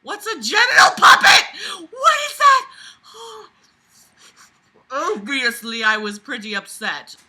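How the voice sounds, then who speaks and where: A woman's voice: sits high, slow pace, raised voice. A woman reading a story aloud and breaking in with incredulous, sweary, theatrical commentary. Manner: animated outbursts and sarcastic asides. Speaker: host of a reading-and-reacting video.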